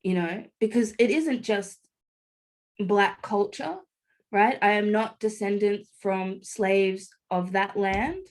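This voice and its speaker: A woman's voice: medium pitch, takes it slowly. A woman guest who co-hosts a radio show, speaking reflectively and fluently on a live video call. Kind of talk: live online conversation.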